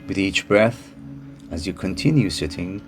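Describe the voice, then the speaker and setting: A man narrating a guided meditation in a calm, soothing manner with unhurried phrasing. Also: sits low. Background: music behind the voice.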